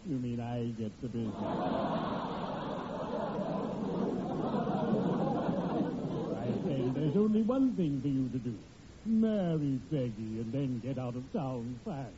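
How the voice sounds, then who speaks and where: An actor playing a friendly undertaker in an old radio comedy, formal and polite with dry, macabre humour. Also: male voice, medium pitch, very animated, unhurried, over a noisy crowd. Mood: dryly helpful. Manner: formal, genteel phrasing with dry macabre wordplay. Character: friendly, morbidly punning male undertaker, unflappably polite. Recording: muffled, very noisy vintage radio recording.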